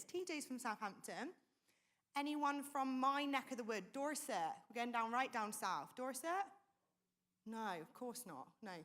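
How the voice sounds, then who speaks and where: Female event host, slightly high voice, upbeat crowd-rallying manner. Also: bright, forceful tone. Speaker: event host and panel moderator. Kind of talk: energetic stage hosting. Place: conference hall stage.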